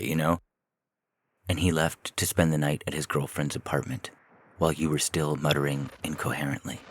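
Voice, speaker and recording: Man, extremely low voice, measured story narration, creaky delivery, noisy ambient background. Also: a bit bright. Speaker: narrator and podcast host. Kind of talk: scripted short story narration.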